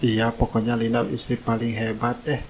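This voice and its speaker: A man playing a meek, soft-hearted labourer and husband in a cartoon drama. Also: medium pitch, completely flat delivery, normal speed, a little hiss or hum, lightly acted. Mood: gentle, admiring.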